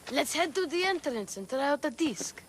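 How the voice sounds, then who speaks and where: Eager, lilting young child. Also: female voice, high-pitched, normal speed, a little hiss or hum. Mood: hopeful. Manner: excitable, questioning. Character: eager young child asking after missing father. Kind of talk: video game cutscene acting.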